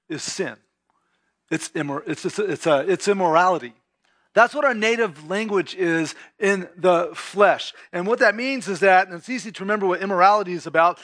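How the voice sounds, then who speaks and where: A man's voice: sits high, a bit bright. A pastor from California preaching a sermon to his church, talking conversationally and often checking in with his listeners.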